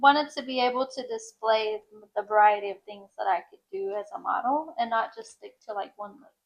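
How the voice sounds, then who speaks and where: A woman in her thirties with a naturally high-pitched voice, chatting as an interview guest about modeling and often laughing. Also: fairly quick, poor audio. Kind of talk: casual conversational interview.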